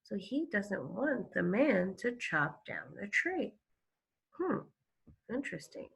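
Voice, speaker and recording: A woman teaching young children, reading aloud and explaining in a deliberate, emphatic, questioning way. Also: medium pitch, big pitch swings, vocal fry, a bit bright. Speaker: second grade teacher. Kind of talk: instructional read-aloud for children.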